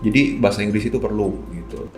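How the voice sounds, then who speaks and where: A man's voice: fairly low pitch, fast talker, darkish tone. A man in his twenties with a Jakartan Indonesian accent, asking and commenting in a casual conversational way as host. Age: 20s. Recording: echoey room with music underneath.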